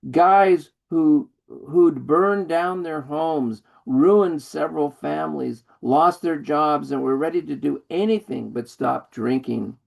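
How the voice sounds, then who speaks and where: A man reading his poem at an online open mic, slow and deliberate, speaking over a video call. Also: a little high, creaky, darkish tone, rough recording. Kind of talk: poetry reading.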